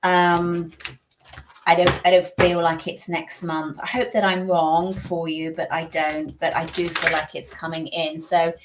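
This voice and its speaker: A woman's voice: on the low side, flat delivery, a little slow, background noise. A woman hosting a live-stream tarot reading, chatty and reassuring, often repeating herself. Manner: chatty, repeats phrases, reassuring spiritual talk. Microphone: streaming setup.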